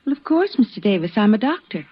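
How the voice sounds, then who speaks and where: A woman's voice: medium pitch, sing-song, fast, slightly rough. An actress playing a determined frontier woman doctor in an old-time radio western, plain-spoken and earnest. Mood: insistent. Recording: dull, slightly noisy old radio recording.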